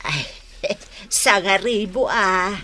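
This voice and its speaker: An actress playing a friendly neighbourhood food vendor in a radio comedy drama, coaxing and exclamatory. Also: female voice, mid-range voice, extremely slow, bright, forceful tone, a little hiss or hum. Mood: coaxing sales pitch.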